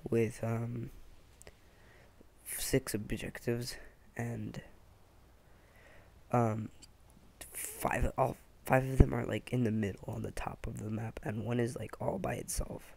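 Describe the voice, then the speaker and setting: Young male host, voice nearly gone, gravelly and scratchy, rambling casual review. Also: mid-range voice, steady tone, takes it slowly, pauses often, darkish tone. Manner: rambling, many fillers and restarts. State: hoarse, lost voice. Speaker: gaming channel host.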